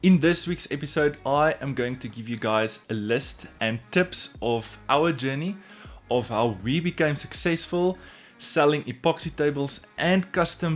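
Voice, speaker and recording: A man from South Africa with a clear Afrikaans accent speaks straight to his viewers in a mid-pitched voice. He tells his story earnestly, circling back and repeating himself in loose, casual phrasing. Soft music plays faintly behind him. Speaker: woodworking YouTuber.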